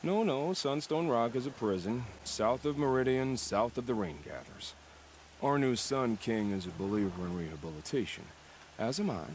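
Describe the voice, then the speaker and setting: Male actor as bloodthirsty former soldier, calm, measured, savoring menace, highly varied intonation, video game drama, clear outdoor water-like noise. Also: natural voice. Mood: wry, reflective. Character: unsettlingly calm, death-loving former soldier who hunts bandits for sport.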